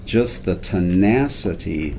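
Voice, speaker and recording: Chatty low-voiced male host. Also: lively intonation, slow pace, gravelly, a little mellow, slight background noise. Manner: chatty, repeats and restarts words. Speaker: interview host. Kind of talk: casual interview.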